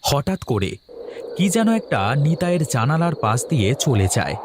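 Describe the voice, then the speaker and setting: A man's voice: a little high, sing-song, moderate pace, slight background noise. A man narrating a scripted horror story for a cartoon.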